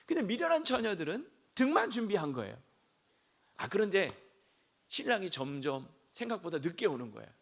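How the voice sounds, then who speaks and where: A man preaching a sermon as senior pastor, hoarse from a throat cold, asking the congregation questions and rising to shouts. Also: sits high, very animated, a little fast. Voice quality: gravelly.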